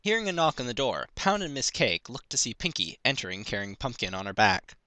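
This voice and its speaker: A man's voice: medium pitch, big pitch swings, a little fast, sharp tone, no echo. A man narrating a piece of fan fiction, reading it aloud as a story.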